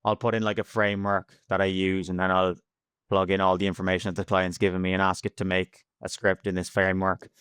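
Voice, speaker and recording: A man's voice: low voice, completely flat delivery, fast talker, fairly bright tone, clear, resonant tone, no echo, studio quality. An Irish man giving casual interview answers in a plain-spoken, slangy, blunt way. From Ireland. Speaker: podcast guest, freelance cold caller.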